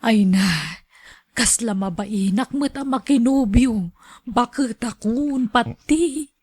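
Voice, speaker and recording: A woman's voice: fairly high pitch, takes it slowly, a little mellow, slightly rough, no echo. A woman playing a shy, demure older woman being courted in a radio drama, hesitant in her answers. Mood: hesitant, reluctant.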